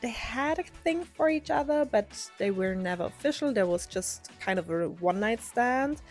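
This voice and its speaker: A woman's voice: a little high, expressive, normal speed, faint music behind. A woman book vlogger talking to camera in a casual, chatty, enthusiastic way.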